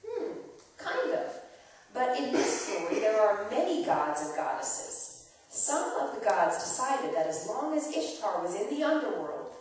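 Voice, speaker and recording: A woman storyteller reads a myth with expressive, swooping intonation in a fairly high voice. She explains things as if to children. The recording is distant and heavily echoing, from a live church performance. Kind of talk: dramatic reading of a myth, storytelling. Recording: very echoey church hall, distant microphone.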